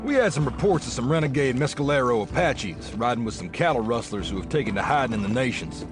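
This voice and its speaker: A man plays a steadfast US marshal with an American accent in a western audio drama. He talks plainly and dryly, in a mid-range folksy voice, with music clearly underneath. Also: medium pitch, expressive, fast, natural voice. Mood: dry, wary. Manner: folksy, dry. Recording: music behind.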